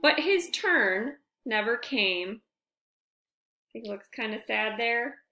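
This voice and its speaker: Slow, animated woman reading a storybook aloud. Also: fairly high pitch, roomy sound. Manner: deliberate, animated phrasing with friendly asides to listeners. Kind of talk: expressive read-aloud storytelling for young children.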